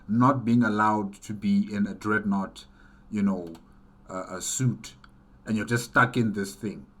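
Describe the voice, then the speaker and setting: A man hosting a reaction video, commenting casually and emphatically, repeating phrases for stress. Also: on the low side.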